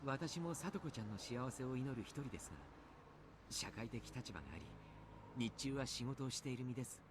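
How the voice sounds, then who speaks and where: An actor playing a gentle, courteous adult mentor with formal, sincere phrasing in a Japanese visual novel. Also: male voice, medium pitch, animated, rapid-fire, background noise, close to an everyday voice. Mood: earnest, encouraging.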